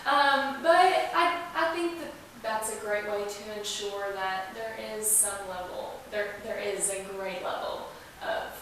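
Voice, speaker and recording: A woman's voice: mid-range voice, a little slow, fairly bright tone. A woman speaking as an interview guest in a casual, chatty style. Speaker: podcast guest representing a museum. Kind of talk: casual chat. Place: echoey indoor room. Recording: echoey room, distant mic, hiss.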